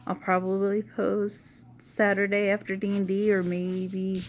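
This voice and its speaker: A woman with a quiet, low voice chatting casually in a rambling home vlog. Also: monotone, unhurried, slight background noise. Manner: rambling, soft-spoken, uses y'all. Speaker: vlogger. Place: at home indoors.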